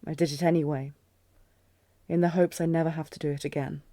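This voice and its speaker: A woman's voice: sits low, level tone, fairly quick, warm tone, no room sound, close to an everyday voice. A woman playing a commanding, tormented queen in a fantasy audio drama, regal and restrained but intense. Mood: grave, defiant. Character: commanding, tormented queen in love with her general.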